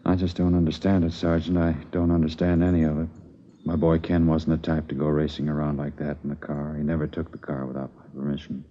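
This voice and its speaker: An American actor playing a grieving, bewildered middle-aged father in a 1950s radio drama, subdued, husky and politely defensive. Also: very deep voice, level tone, a little fast, a little hiss or hum. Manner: subdued, puzzled. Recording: dull vintage radio recording.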